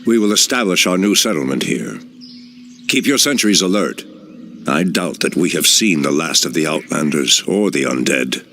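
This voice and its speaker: Een diepe, grindstem-druïdeleider. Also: male voice, lively intonation, normal speed, slight background noise, heavily acted. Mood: grave. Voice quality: deep, gravelly, solemn. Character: ancient male elf druid leader, grave. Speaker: game cutscene character. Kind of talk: game cutscene acting. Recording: voice over loud music.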